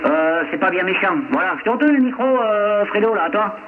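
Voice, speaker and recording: A man with a slightly high voice chats casually over amateur radio. The transmission is thin and narrow, with clear noise around his words. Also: animated, brisk. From France. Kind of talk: casual radio contact chat.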